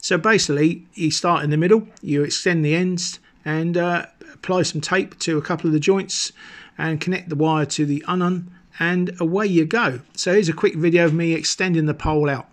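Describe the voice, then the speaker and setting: A middle-aged man explaining and demonstrating as a hobbyist presenter, in measured short phrases with pauses. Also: on the high side, no echo. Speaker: amateur radio hobbyist presenter.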